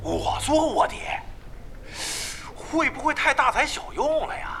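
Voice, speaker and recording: A man's voice: very high-pitched, expressive, a little slow, sharp tone, slight background noise, heavily acted. A man acting a brash, foul-mouthed, shameless older gamer in a radio drama, boastful, crude and theatrical. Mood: boastful banter. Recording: studio voice over clear background music.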